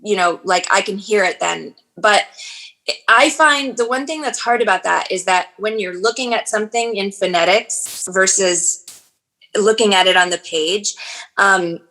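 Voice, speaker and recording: A chatty woman in her forties who narrates audiobooks and acts, talking in an easy, casual interview. She often restarts her sentences and fills pauses as she thinks aloud. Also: medium pitch, low-quality recording. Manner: chatty, warm, frequent fillers and restarts.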